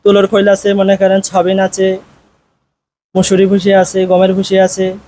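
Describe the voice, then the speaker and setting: Farm caretaker guy from jessore, bangladeshi accent, high soft-toned voice, short answers, bit noisy. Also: completely flat delivery, normal speed. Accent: Bangladeshi, Jessore region. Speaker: farm caretaker being interviewed. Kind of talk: plain short interview answers. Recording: noisy on-location farm recording.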